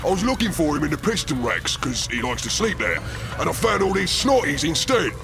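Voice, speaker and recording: A man playing a small put-upon orc underling in an audio drama, whiny and complaining, with an English accent and a creaky voice. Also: a little high, very animated, brisk, over music. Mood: worried.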